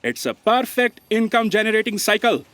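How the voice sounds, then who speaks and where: A woman's voice: on the high side, very animated, fairly quick, a bit bright, a little hiss or hum. A woman playing a cynical, long-suffering copy aide with a dry, sardonic manner in a scripted comedy audio drama. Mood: reading officiously.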